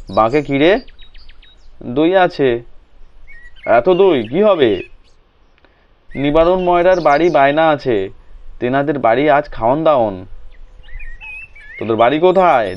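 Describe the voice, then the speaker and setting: Storybook: man putting on a jittery, talkative village milkman, deferential and eager, lifted pitch. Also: highs cut off. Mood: plain. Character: chatty nervous rural male milkman, middle-aged.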